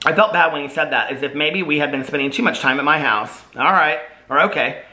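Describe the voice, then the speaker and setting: A man's voice: on the high side, brisk, pushed and bright. A man with a chatty, playful, informal way of talking, casually vlogging and reading his own book aloud. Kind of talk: casual chat and storybook read-aloud.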